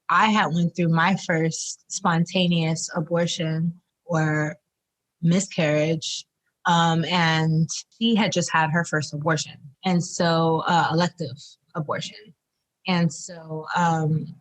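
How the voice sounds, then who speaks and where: A woman's voice: lowish voice, rough recording. A young woman from Trinidad, a doula and guest, talking reflectively in a casual interview with many fillers and restarts. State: three months postpartum.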